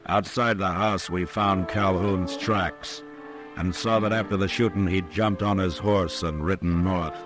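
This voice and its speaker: An actor playing a stern, weary US marshal in an old-time radio western, terse and grave. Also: male voice, deep voice. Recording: old radio drama with music.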